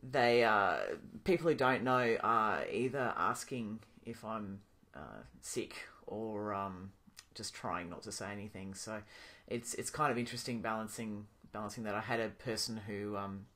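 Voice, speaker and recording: A young man talking casually to his camera in a video diary, pausing often with ums and restarting his sentences. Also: on the low side. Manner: relaxed, thinking aloud with many ums and restarts. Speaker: vlogger.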